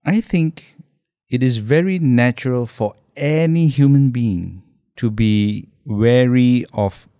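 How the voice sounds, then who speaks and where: Malaysian guy on a podcast, warm mellow tone, dry close sound, switches english and malay. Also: mid-range voice, slow, smooth, dry recording. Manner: thoughtful, mixes English and Malay, lah and kan tags. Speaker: guest podcaster. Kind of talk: podcast discussion. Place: podcast studio.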